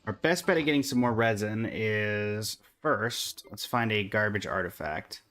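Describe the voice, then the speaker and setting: A man's voice: fairly low pitch, measured pace, fairly bright tone, faint music behind. A man livestreaming a game, chatting casually and in a friendly way with viewers while commenting on his play.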